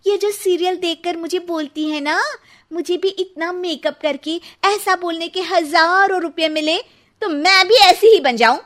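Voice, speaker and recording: Lady doing a super high-pitched young wife in a cartoon, sassy, argues back dramatically. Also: a little slow, a bit bright. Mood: sarcastic. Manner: theatrical, argumentative back-talk. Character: feisty, sarcastic young daughter-in-law in a cartoon. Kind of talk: exaggerated Hindi cartoon family drama.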